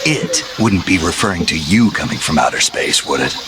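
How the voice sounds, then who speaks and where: An actor playing a gruff, wry male soldier in a video game, laconic and dryly teasing. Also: rumbling low, animated, moderate pace, heavy background noise. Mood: deadpan banter. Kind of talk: video game voice acting, scripted radio conversation.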